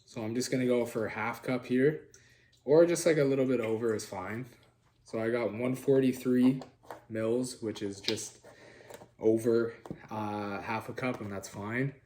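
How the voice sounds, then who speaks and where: A young man with a raspy, slightly nasal voice from being sick, talking quietly to the camera while cooking in his home kitchen. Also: medium pitch, fairly even intonation, measured pace, slight background noise. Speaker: cooking vlog host. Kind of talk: casual cooking demo. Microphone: camera on the counter.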